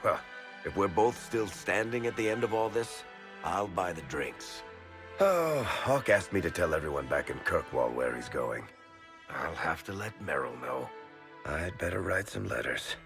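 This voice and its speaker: An actor playing a world-weary, wry roguish companion in a fantasy video game, talking casually and ruefully. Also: male voice, low voice, very animated, unhurried, music in the background, exaggerated voice. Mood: wry, resigned. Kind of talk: conversational video game acting.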